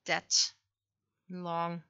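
A woman livestreamer thinks aloud in short, halting phrases at a slow pace. Her voice is bright and clear-cut in tone, though the recording is slightly dull. It sounds close and dry, like casual chat over desk work. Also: on the low side, monotone, dry recording. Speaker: livestreamer and webcomic artist.

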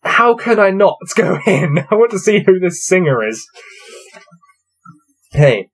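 A man's voice: high-pitched, very animated, slow, breathy edge, soft background music. Young man reading a gamebook aloud. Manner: amused, laughs often, counts out dice rolls. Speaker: reader playing a gamebook. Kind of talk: casual read-aloud with dice commentary. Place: small cluttered room with shelves, indoors.

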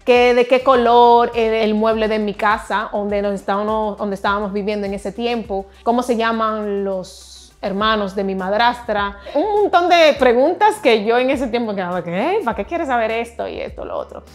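A woman's voice: on the high side, moderate pace, few pauses. A young woman from the Dominican Republic telling her migration story in a chatty, laughing way, acting out the voices of people she quotes. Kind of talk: casual storytelling vlog.

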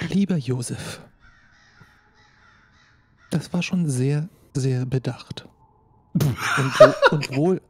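Mellow, husky male role-player. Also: mid-range voice. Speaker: player in tabletop role-play. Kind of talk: improvised in-character play and casual chat.

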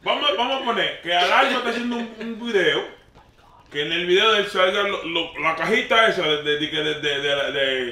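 A man with a Dominican accent in an animated casual group debate, speaking slangy and emphatic and repeating himself. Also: high-pitched, normal speed. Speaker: talk show participant. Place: small dim lounge indoors. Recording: roomy echo, far from mic.

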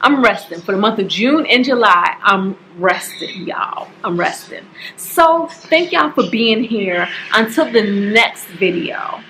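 A woman in her forties, talking casually to camera at home about her monthly budget, chatty and expressive, calling her viewers y'all. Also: mid-range voice, slow pace, slight background noise, low-quality recording. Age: 40s. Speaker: budgeting vlogger. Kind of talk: casual direct-to-camera talk. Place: home staircase, indoors. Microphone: camera at close range.

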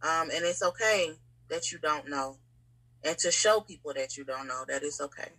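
A woman's voice: low voice, normal speed, sharp tone, rough recording. A woman speaking casually and candidly as a podcast guest, often checking that the listener follows. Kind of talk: casual, candid interview conversation.

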